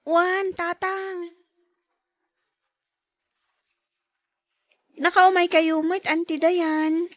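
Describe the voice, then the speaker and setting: A woman's voice: extremely high pitch, little pitch movement, takes it slowly, a bit bright, no room sound, studio quality, heavily acted. A woman playing a dutiful, affectionate young schoolgirl daughter in a radio drama. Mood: respectful. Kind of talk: Ilocano radio drama acting.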